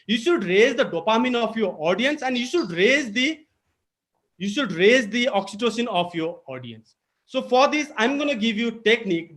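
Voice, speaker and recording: A man's voice: sits high, a bit bright. A young man with a Nepali accent teaching public speaking in an online webinar, explaining each point emphatically and repeating it. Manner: emphatic, repetitive teaching delivery with code-switching. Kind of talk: webinar lecture. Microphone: video call.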